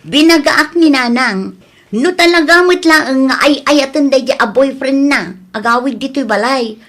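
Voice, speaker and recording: An actress with an Ilocano Filipino accent playing a concerned, scolding adult daughter in an audio drama, earnest and admonishing. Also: female voice, sits high, a little slow, a bit bright. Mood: worried, reporting.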